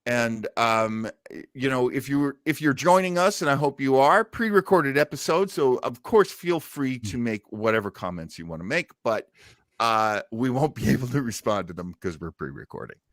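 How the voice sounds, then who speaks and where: A man's voice: medium pitch, moderate pace, dry recording, studio quality. A man chatting casually as co-host of a show, rambling and jokey as he introduces things. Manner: animated, rambling, jokey, acts out reactions. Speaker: podcast co-host introducing old radio shows. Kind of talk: casual chat.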